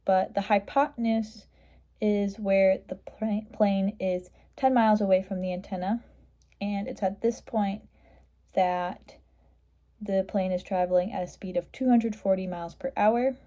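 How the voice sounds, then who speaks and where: Female tutor for math walkthrough: middle-pitched, somewhat mellow voice, matter-of-fact step-by-step delivery. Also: steady tone, a little slow. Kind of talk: step-by-step explanation of a worked math problem.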